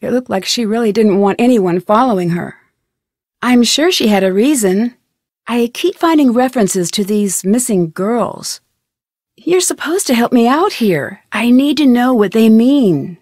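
A woman's voice: on the high side, animated, measured pace, a little mellow, no room sound, clean studio sound. A young American woman voicing an inquisitive, polite and determined amateur detective in an adventure video game, with an earnest, questioning delivery. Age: young adult. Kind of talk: scripted adventure game voice acting.

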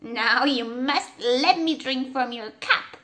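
A woman voicing a polite male talking frog in a storybook reading, slow and coaxing. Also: high voice, bright, forceful tone, full character voice.

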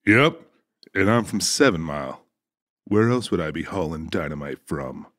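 Audiobook trucker, male actor: low register, animated delivery, easygoing joker, folksy and sassy. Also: moderate pace, no room sound, studio quality. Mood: teasing. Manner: folksy, teasing, colloquial. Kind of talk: dramatized audiobook dialogue.

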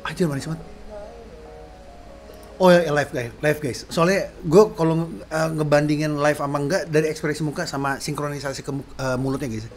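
A man in his thirties giving casual, excitable reaction commentary as a sound engineer, full of slang exclamations, at home in a small room. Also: a little high, a little fast. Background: faint music playing.

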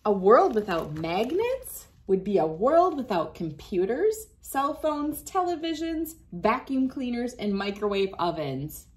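A woman's voice: fairly high pitch, big pitch swings, measured pace, few pauses, darkish tone. A woman in her thirties reading a science book aloud and demonstrating for children, encouraging and speaking directly to young viewers. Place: small wood-panelled room indoors, by a window. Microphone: device camera at about arm's length.

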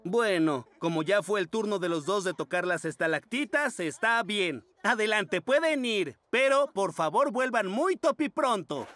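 A man's voice: high voice, expressive, measured pace, few pauses, fairly bright tone. A man voicing a kindly, fussy mole schoolteacher in a children's cartoon dub, patient and sing-song. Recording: children's music under the voice.

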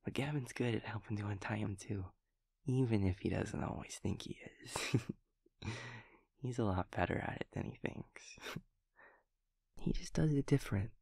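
A man voicing a sweet, naive, cheerful young daemon friend in an intimate audio roleplay, with soft, breathy speech and gentle, halting rambling. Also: medium pitch, no echo, clean studio sound, exaggerated voice. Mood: gentle, reassuring. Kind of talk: intimate audio roleplay acting, one-sided conversation.

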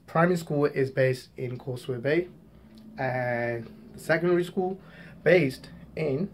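A man's voice: mid-range voice, darkish tone. A young man in his twenties from Hong Kong, talking casually to a handheld camera at arm's length and repeating words as he greets his viewers. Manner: chatty, repeats words, addresses viewers as guys. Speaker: vlogger. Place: small room indoors, then outdoor walkway.

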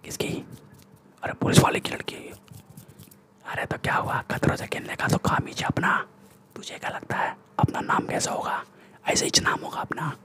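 A man's voice: normal speed, pauses often, close to an everyday voice. A young man with an Indian accent playing a cocky, threatening street gangster in a whispered ASMR roleplay, speaking bossy street slang close to the microphone. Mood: menacing. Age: young adult. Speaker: ASMR performer. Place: small room indoors. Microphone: close-up large desktop microphone.

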